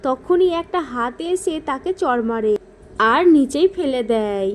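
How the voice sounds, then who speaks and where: Female narrator for children's cartoon tales, very high register, scripted storytelling. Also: expressive, measured pace.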